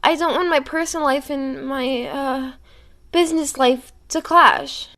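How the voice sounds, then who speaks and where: A woman voicing an affectionate, teasing young female villain in a fan fiction reading, with a cooing, drawn-out delivery. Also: high-pitched, sharp tone, voice pushed up, exaggerated voice. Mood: worried, soft.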